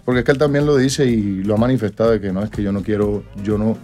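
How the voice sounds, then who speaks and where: A man's voice: fairly low pitch, fairly even intonation, fast, warm tone, soft background music. A Colombian man in his twenties, a singer talking casually in an interview, rambling with slang and restarts. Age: 20s.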